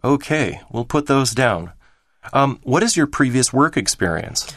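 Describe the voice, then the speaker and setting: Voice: mid-range voice, lively intonation, unhurried, fairly bright tone, no echo. Actor playing office clerk, courteous, methodical, helpful, scripted language-exam dialogue, dry sound. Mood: businesslike. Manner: courteous, methodical, repeats answers back.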